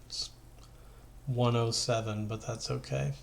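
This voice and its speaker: A man's voice: medium pitch, steady tone, slow pace, creaky. A man giving an informal math lesson as a teacher, talking conversationally and thinking aloud as he works through steps. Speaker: high school math teacher.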